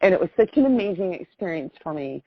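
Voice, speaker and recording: A woman's voice: on the low side, sing-song, fast talker. A middle-aged American woman on a phone call, telling a personal story in an effusive, rambling way. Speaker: phone caller. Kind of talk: casual spoken personal account. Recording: muffled phone call recording.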